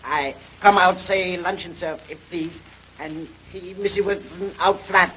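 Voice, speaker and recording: An actor playing an eager, servile ship's steward in a radio mystery, speaking broken English with a put-on Japanese accent. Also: male voice, sits high, fairly even intonation, unhurried, sharp tone. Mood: anxious, obliging. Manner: broken pidgin English, deferential. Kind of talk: old-time radio mystery acting. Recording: noisy old radio drama recording.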